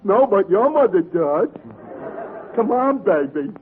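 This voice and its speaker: Casting: bumbling lovestruck dimwit, animated swooping pitch, old radio comedy with audience noise. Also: male voice, takes it slowly, some chatter behind, heavily acted. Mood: clueless, smug. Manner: hesitant, dopey, starts with uh. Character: dim-witted, bumbling, lovestruck tavern regular. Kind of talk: 1940s radio comedy acting. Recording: vintage radio, narrow band, loud audience, hiss.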